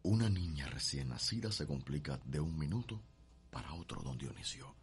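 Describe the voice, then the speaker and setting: An actor with a Cuban accent playing an imperious, menacing, contemptuous gentleman husband in a radio soap opera. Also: rumbling low, expressive, moderate pace, slight background noise. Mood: cold, threatening. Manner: commanding, threatening.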